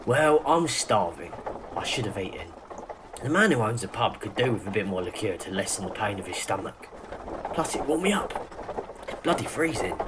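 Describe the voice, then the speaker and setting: A man's voice: on the low side, sing-song, normal speed. A man playing a wry, grumbling adventurer with a casual manner in an audio drama. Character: jittery, grumbling male adventurer fond of drink. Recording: faint background noise and ambience.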